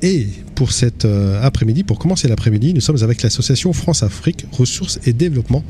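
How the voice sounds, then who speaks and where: A man hosting a live radio broadcast from an association fair, relaxed and friendly with some hesitations. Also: mid-range voice, fast, slight background noise. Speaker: radio host.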